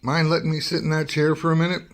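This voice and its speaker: A man's voice: a little high, level tone, fast talker, slight background noise, close to an everyday voice. A man acting in a radio drama as a wry, skeptical investigative reporter, both speaking in scenes and narrating. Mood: skeptical, probing. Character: wry, probing male investigative newspaper reporter.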